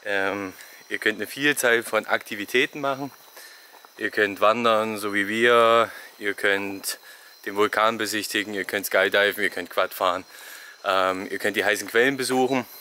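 A man's voice: lowish voice, moderate pace, slight background noise. A young man vlogging while he walks through a rainforest park, filming himself at arm's length and casually giving travel tips. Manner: relaxed, chatty, giving tips. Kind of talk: casual walking vlog commentary. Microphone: selfie camera at arm's length.

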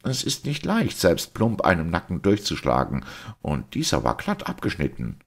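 A man's voice: sits low, wildly swooping pitch, moderate pace, creaky, pitched above the performer's own voice, exaggerated voice. A man voicing a worried older doctor who speaks hesitantly, as if pained.

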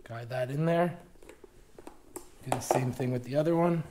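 A young man casually walking viewers through installing a snowmobile accessory in a garage workshop, relaxed and plain-spoken with many fillers. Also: mid-range voice, normal speed, noisy background. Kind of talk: casual step-by-step install walkthrough.

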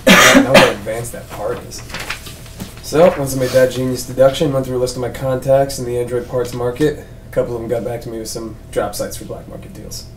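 A man's voice: medium pitch, steady tone, a little fast, a bit bright, lightly acted. A man playing a cocky, foul-mouthed police detective, brash and slangy, in a script read. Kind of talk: table read of a film script. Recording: echoey room, distant mic, faint noise.

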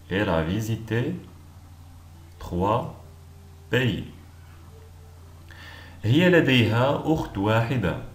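A man teaching language, reading each short phrase with clear, deliberate pronunciation and repeating it several times. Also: medium pitch. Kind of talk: slow repeated phrase drills. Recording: echoey room with faint noise.

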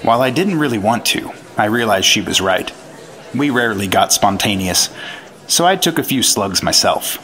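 An actor playing an earnest, straight-laced 1950s FBI agent in a retro radio drama, matter-of-fact and clipped. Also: male voice, on the low side, animated, normal speed, a bit bright. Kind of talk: retro radio drama acting with first-person narration.

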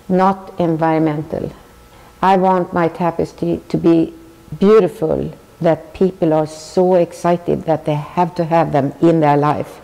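Older Swedish-accented woman, low voice. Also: vocal fry, dark tone, long reverb tail, rough recording. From Sweden. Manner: thoughtful, earnest, halting restarts. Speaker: tapestry artist. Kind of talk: interview answers. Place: large weaving studio with looms.

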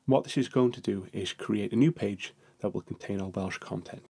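Male tutorial narrator, lowish gravelly voice, a bit husky, steady step-by-step delivery. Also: brisk.

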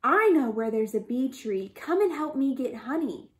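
Woman as a high-pitched, crafty little rabbit. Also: very animated, normal speed. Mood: scheming. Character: cunning, plucky little female rabbit trickster.

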